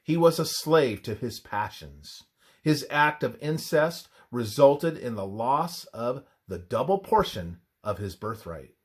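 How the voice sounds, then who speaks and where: Man reading devotional text with lively, swinging pitch. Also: takes it slowly, slightly rough. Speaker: reader of a religious text. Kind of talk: scripted devotional narration, read aloud.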